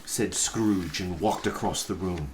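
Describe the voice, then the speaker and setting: Man, mid-low voice, very slow pace, dramatic storybook narration, deliberate pauses. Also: crowd noise. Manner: deliberate, theatrical phrasing with pauses. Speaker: pastor reading a story aloud.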